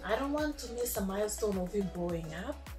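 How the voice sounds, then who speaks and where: A woman speaks in a clear, measured way. Her voice rings in an echoey room. Music plays clearly behind her. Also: mid-range voice, animated. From Papua New Guinea. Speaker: stay-at-home mom and online entrepreneur. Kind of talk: promotional testimonial. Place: room at home.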